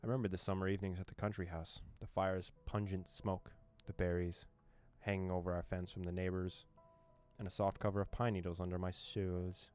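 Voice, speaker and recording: A man with a low voice reads a game's narration aloud for his viewers. He slips in joking asides between passages. Faint game music plays behind him. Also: little pitch movement, fairly quick, a little mellow, smooth. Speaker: gaming streamer.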